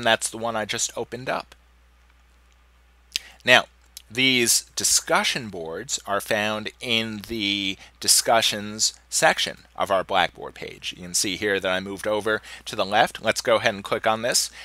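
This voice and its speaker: A man in the middle of getting over a cold, his voice stuffy and a little hoarse, guides students through their online course page. He sits somewhat low in pitch but sounds bright and forward, and the recording is dry and close with no room around it. He talks loosely, pausing on "uh" as he clicks along. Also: dry recording. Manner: relaxed, conversational, with frequent "uh" restarts. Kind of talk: screen-recorded course walkthrough. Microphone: computer mic, voice-over of screen capture.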